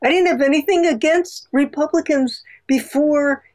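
A woman's voice: high voice, normal speed, darkish tone. A woman from New Jersey, a documentary filmmaker, telling a personal story in a candid, chatty way with many fillers. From New Jersey, USA. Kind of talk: casual interview storytelling.

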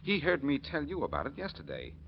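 A man's voice: medium pitch, huge pitch swings, fairly quick, gravelly, slightly rough, full character voice. A man acting a breezy, wisecracking amateur detective in 1940s radio style, with wry, teasing confidence. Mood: brisk. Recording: muffled, noisy vintage 1940s radio recording.

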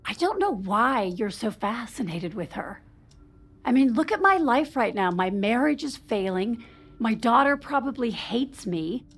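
A woman's voice: fairly high pitch, very animated, measured pace, husky, full character voice. An actress playing a guarded, strained wife and mother in naturalistic audio drama, speaking conversationally with some hesitancy. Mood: defensive.

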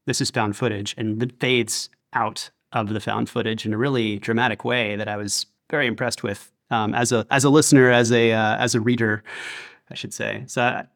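A man's voice: lowish voice, steady tone, moderate pace, gravelly, a bit bright, no echo. Casual man talking shop, close dry sound. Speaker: audio drama producer and sound designer. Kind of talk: casual interview chat.

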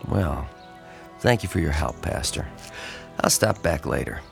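A man's voice: rumbling low, lively intonation, a little slow, vocal fry, faint music behind, full character voice. A man playing a dogged, wry newspaper reporter in an audio drama. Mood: probing, polite.

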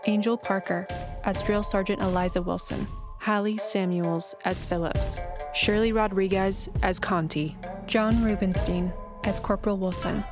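Female credits announcer, medium pitch, scripted read, clear music bed underneath. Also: steady tone, unhurried.

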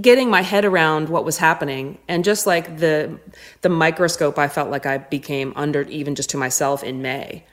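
A woman talking casually and reflectively as a podcast guest, thinking aloud haltingly and often questioning herself. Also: mid-range voice, a bit bright. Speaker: guest, touring musician.

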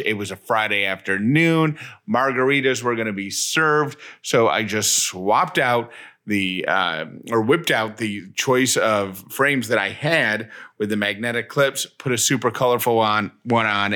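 A man's voice: on the low side, expressive, a little slow, sharp tone. A middle-aged man co-hosting a radio show, moving between casual banter and host-read material.

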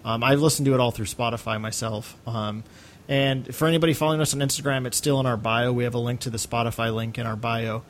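A man's voice: medium pitch, fairly even intonation, fast, a little hiss or hum. A man co-hosting a podcast in casual, rambling, hesitant chat. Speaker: podcast co-host.

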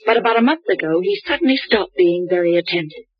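An actress playing a sharp, guarded young woman in 1940s radio drama style, on an old dry radio recording. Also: fairly low pitch, lively intonation, quick pace, slightly rough, no room sound, lo-fi sound. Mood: tense.

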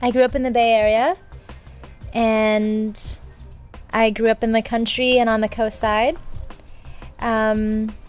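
Woman from the philippines, high-ish voice, slow, brief answers. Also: clear, resonant tone. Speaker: contestant.

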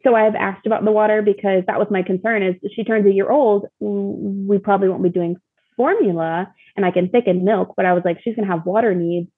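A woman's voice: medium pitch, fairly quick, husky, phone-quality sound. A woman guest chatting casually and informally about her own experience, with a self-deprecating touch, over thin call audio. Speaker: interview guest, mother of young child. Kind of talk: casual chat.

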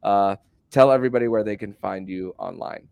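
Low-voiced male podcast host, dry sound. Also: brisk, gravelly, darkish tone, dry recording. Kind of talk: conversational sports podcast hosting.

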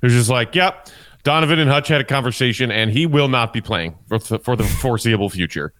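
A man's voice: mid-range voice, lively intonation, fast, a bit bright. A man co-hosting a sports podcast, casually explaining his analysis as he thinks aloud.

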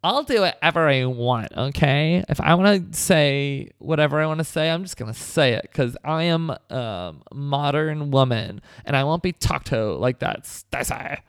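A man voicing a brash, combative woman who runs a cupcake shop, in a sassy, defiant falsetto. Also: animated, moderate pace, hardly any pauses, smooth, dry recording, studio quality. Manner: sassy, defiant, high put-on voice. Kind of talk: comedy podcast sketch.

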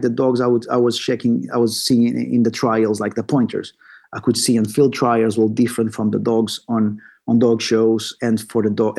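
A man from Portugal with a Portuguese accent, answering interview questions in halting English as he searches for words. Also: mid-range voice, gravelly, mellow. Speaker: podcast guest, bird hunter. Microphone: remote call.

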